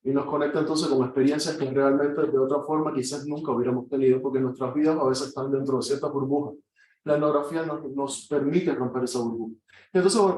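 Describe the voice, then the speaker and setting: Relaxed Cuban man lecturing from far off. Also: fairly high pitch. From Cuba. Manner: relaxed, conversational, frequent "o sea" fillers. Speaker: guest lecturer, anthropologist. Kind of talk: informal classroom talk. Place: classroom. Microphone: far room mic. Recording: echoey classroom from far off.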